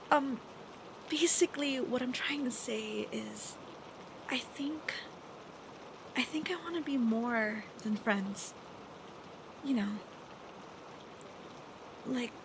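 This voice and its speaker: A young woman acting an affectionate, teasing best friend who is nervously smitten, in a soft, hesitant, intimate roleplay style with rain behind her. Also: high voice, background noise. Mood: awkward, nervous. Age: young adult. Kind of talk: intimate roleplay audio acting, girlfriend-experience monologue. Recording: steady rain sound effects behind voice.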